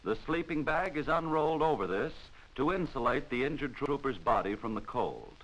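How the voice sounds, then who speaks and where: An American man narrates in the style of a 1940s military instructional film. His delivery is formal and measured, with a mid-pitched voice that rises and falls in an animated way. It sounds like a vintage film soundtrack. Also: rough recording.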